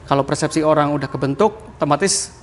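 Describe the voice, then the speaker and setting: A man with a broad Javanese ngapak accent and a fairly high voice talks through his ideas in a relaxed podcast chat. He keeps tagging his points with easy fillers. A faint room ambience sits behind him. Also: fast talker, a little hiss or hum. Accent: Javanese Banyumas (ngapak). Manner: explains with many "kayak gitu" tags, laughs easily. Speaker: podcast guest, communication mentor. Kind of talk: casual podcast interview.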